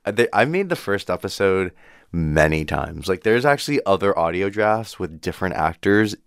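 A man's voice: low voice, very animated, normal speed, smooth, no echo, clean studio sound. A man chatting informally as an interviewed podcast producer, sprinkling his talk with "like". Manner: chatty, informal, heavy use of like. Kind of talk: casual chat.

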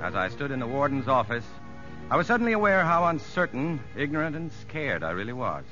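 A man's voice: medium pitch, very animated, brisk, bright, forceful tone, soft background music. An American man playing an earnest, polite, inexperienced prison psychologist who also narrates, in 1950s radio drama style. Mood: reflective narration. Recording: muffled old radio broadcast.

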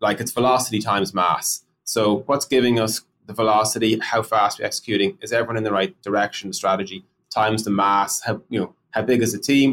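A man's voice: fairly low pitch, fairly bright tone, slight background noise. A man co-hosting a casual podcast, talking animatedly and firing off rhetorical questions as he acts out colleagues. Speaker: podcast co-host discussing product.

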